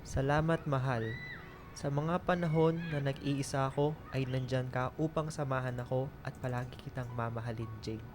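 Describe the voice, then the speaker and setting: An actor playing a gentle, apologetic young lover in a radio drama, soft-spoken and earnest. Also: male voice, medium pitch, fairly even intonation, moderate pace, soft background music, close to an everyday voice. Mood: apologetic, loving.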